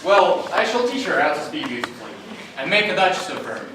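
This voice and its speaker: An actor playing an arrogant, brusque phonetics professor who talks in a brisk, dismissive way. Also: male voice, a little high, sing-song, very echoey, distant mic, background noise.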